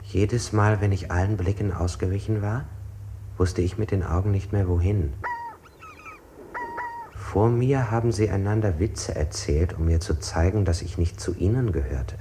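An actor playing an anxious interrogated man in a 1960s radio play, recounting precisely and deliberately. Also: low voice, noisy background. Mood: uneasy, brooding. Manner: deliberate, self-correcting, precise.

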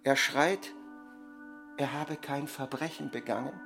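A man voicing a deferential, composed secret-service commander in a radio drama, reporting in a measured, factual way. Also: mid-range voice, gravelly, soft background music. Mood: hushed, factual.

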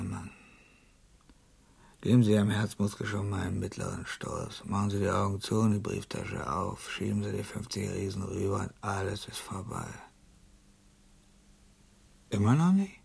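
Brash, menacing tempter. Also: male voice, on the low side, moderate pace, vocal fry, pauses often, a little mellow, husky, full character voice. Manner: brash, slangy, pushy. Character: cold slangy male tempter proposing a deadly deal. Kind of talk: radio play acting.

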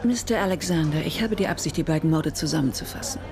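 An actress playing a tough, insistent prosecutor in a radio crime drama, firm and businesslike. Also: female voice, deep voice, fast talker, over loud music, over a noisy crowd. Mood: hard bargaining.

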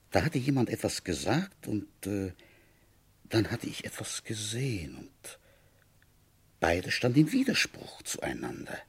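A village detective with a very low, husky voice, in a radio play. He is methodical and dryly patient, thinking aloud as if confiding in the listener, and his intonation swings widely as he ponders. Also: heavily acted. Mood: puzzled, brooding. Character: methodical, musing male village police commissioner, dryly patient. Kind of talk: German radio drama, intimate first-person narration.

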